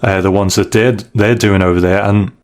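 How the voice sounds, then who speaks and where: A man hosting a podcast in a casual conversational style, measured and thinking aloud as he goes. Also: fairly low pitch, fairly even intonation, a little mellow. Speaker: podcast host.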